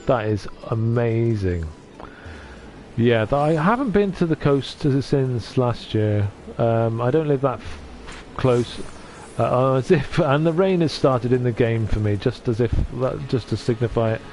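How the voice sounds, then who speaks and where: A man from North Wales streaming from his sofa at home. He chats gently with his viewers and often stops and restarts. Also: medium pitch, animated, a little slow, a little hiss or hum. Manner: gentle, hesitant, with many restarts. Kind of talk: casual chat reading out viewer comments.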